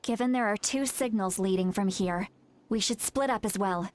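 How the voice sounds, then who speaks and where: A woman's voice: mid-range voice, normal speed, faint music behind, lightly acted. A woman voicing a proud, irritable shaman grandmother with a youthful voice in an anime video game, huffy and muttering to herself. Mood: assured.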